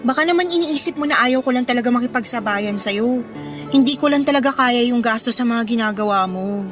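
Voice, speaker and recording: A woman's voice: fairly high pitch, brisk, close to an everyday voice. A woman playing a modest, self-doubting teenage schoolgirl in a Filipino radio drama, speaking in an earnest, conversational, pleading way with a Filipino accent. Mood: defensive, pleading. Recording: music under the dialogue.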